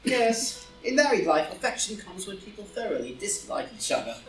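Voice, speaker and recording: A woman's voice: medium pitch, very animated, moderate pace, lightly acted. A woman playing a witty, flippant young male aristocrat in an audio drama reading, with an arch, theatrical manner. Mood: arch, playful. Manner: arch, languid wit, theatrical. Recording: roomy, distant live reading with music.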